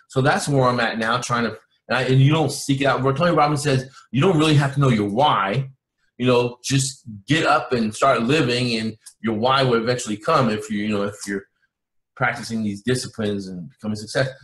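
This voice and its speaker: A man born in Cuba, interviewed as a guest, who rambles with lots of restarts and side turns. His voice sits at an ordinary middle pitch. The room around him sounds echoey. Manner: rambling, animated, many restarts. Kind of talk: casual interview chat.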